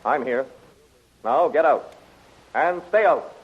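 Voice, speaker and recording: A man's voice: medium pitch, expressive, takes it slowly, breathy edge. An actor playing a stern, dutiful military man in a 1930s radio serial, formal and clipped, on a tinny, hissy recording. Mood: stern, curt.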